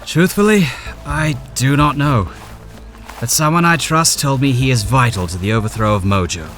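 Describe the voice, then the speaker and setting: A man's voice: medium pitch, lively intonation, normal speed, fairly bright tone, close to an everyday voice. A man playing a grim, determined male rebel guide in an audio drama, speaking earnestly and giving orders. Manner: earnest, commanding.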